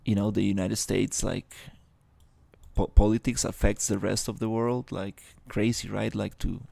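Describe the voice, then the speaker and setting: A young man from Rica, chatting casually on a live stream, halting, with many fillers and restarts. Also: on the low side, level tone, vocal fry, dark tone, dry recording. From Costa Rica. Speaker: livestreamer.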